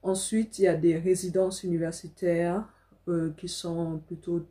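A woman's voice: on the low side, little pitch movement, a little slow, mellow. A young adult woman from Africa telling a personal story casually to camera as a vlogger, indoors in a small room.

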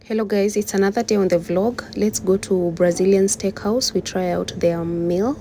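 A woman's voice: mid-range voice, fairly even intonation, moderate pace, mellow, a little hiss or hum. A young woman vlogging to her phone camera, upbeat and chatty, speaking straight to her viewers from a car and a restaurant. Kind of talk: casual vlog talk to camera.

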